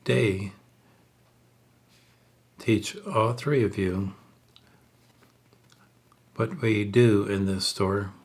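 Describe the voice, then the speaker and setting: A man telling a story aloud in a halting, measured way, unpolished and in short phrases. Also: fairly low pitch.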